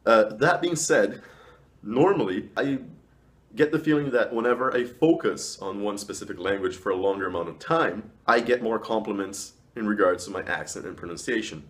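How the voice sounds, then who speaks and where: A man with a fairly low voice talks in the manner of an online language vlogger. He gives casual advice directly to the listener, leaning on key words and drawing some of them out for emphasis. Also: lively intonation, normal speed. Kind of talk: casual advice talk to camera.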